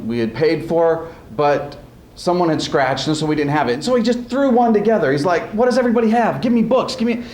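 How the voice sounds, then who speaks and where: A man's voice: on the high side, a little mellow. A man hosting a showcase as speech coach, rambling and jokey in his informal introductions. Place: school event before an audience. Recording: echoey hall with background noise.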